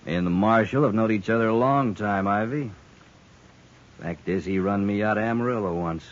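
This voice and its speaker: Guy as taunting old hired gun, western drawl, low, muffled old radio. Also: moderate pace, close to an everyday voice. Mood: sly menace. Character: insolent hired gunman of the Old West. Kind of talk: 1950s radio western acting. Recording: dull 1950s radio recording.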